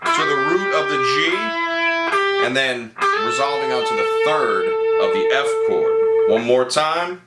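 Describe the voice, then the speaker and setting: Man teaching guitar over loud music. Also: a little high, huge pitch swings, a little slow. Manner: explanatory, with playful wry asides. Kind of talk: guitar tutorial with music analysis. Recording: echoey room with loud music. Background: loud guitar and band music.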